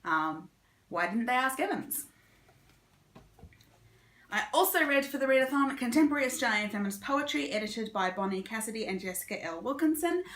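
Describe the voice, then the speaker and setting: A woman's voice: a little high, lively intonation, fairly quick, fairly bright tone, echoey room. A woman chatting casually and informally as she reviews books, giving her opinions freely. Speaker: book reviewer and vlogger. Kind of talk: casual monthly reading wrap-up chat.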